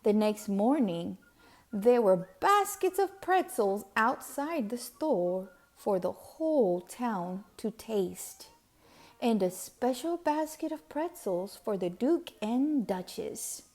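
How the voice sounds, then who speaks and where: A woman reading a children's storybook aloud with expressive, deliberate emphasis on key words. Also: fairly high pitch. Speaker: storybook reader.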